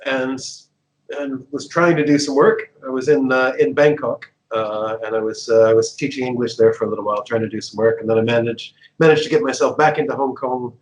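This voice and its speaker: A man's voice: medium pitch, fairly even intonation, brisk, rough recording. Chatty American man telling stories. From United States. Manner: chatty, laughs often, many fillers and restarts. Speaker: guest. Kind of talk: casual interview storytelling.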